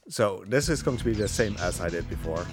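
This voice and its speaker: A man with a lowish voice commentates casually on his own gameplay. He rambles with hesitant asides while concentrating on the run, and game music plays clearly behind him. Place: live gaming marathon event, game audio behind.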